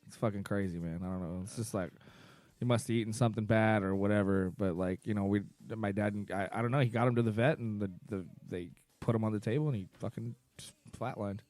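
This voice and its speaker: A man's voice: fairly low pitch, fairly even intonation, quick pace, a little mellow, dry recording. A man co-hosting a podcast in casual, informal chat with some swearing. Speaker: podcast co-host.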